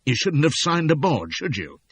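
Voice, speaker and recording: A man voicing a cold, menacing slave-ship captain in an audiobook, speaking slowly and quietly with quiet command. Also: fairly high pitch, big pitch swings, slightly rough, pitched above the performer's own voice. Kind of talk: audiobook character voice.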